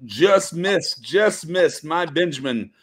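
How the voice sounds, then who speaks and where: Male guest, slightly high pitch, informal podcast talk. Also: expressive, slow pace, a bit bright, husky. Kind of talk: casual chat.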